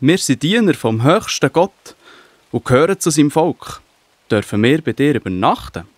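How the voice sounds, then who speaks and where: Man playing earnest Swiss German spy, higher-pitched. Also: big pitch swings, normal speed, no room sound. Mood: polite. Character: earnest, devout male spy serving God's people. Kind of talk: children's Bible audio drama acting.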